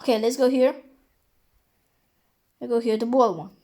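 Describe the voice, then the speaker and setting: Young female reaction host, high voice, casual, quick to blurt short asides and exclamations. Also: darkish tone. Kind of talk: casual live reaction chat.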